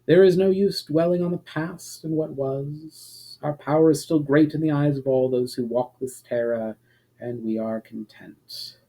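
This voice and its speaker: A man voicing a proud, calm female elf leader in a fantasy storybook, with stately, measured and formal speech. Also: fairly high pitch, rough recording. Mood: wistful, serene.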